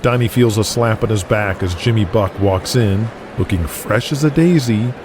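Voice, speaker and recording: A man's voice: fairly low pitch, moderate pace, noisy background. A man hosting and narrating an audio drama in a scripted, dramatic style. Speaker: narrator and host. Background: music, with guitar.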